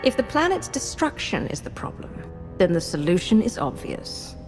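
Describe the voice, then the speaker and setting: A woman's voice: medium pitch, very animated, normal speed, darkish tone, soft background music, lightly acted. A woman playing a ruthless, haughty Sith lord in a video game, giving commands with cold menace. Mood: cold, commanding. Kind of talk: scripted video game acting.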